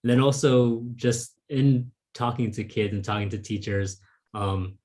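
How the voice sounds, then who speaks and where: A man's voice: medium pitch, measured pace, a little mellow. A man whose first language is Vietnamese, talking thoughtfully as an interviewer over a video call, with lots of "like" and "um". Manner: thoughtful, with frequent "like" and "um" fillers. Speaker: interviewer, fellow author. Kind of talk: casual conversation.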